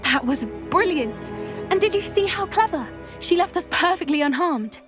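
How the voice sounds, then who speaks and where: A high-pitched teenage British witch from a video game. She is earnest and gentle, an expressive young animal lover, with music playing behind her voice. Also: moderate pace. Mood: relieved, earnest.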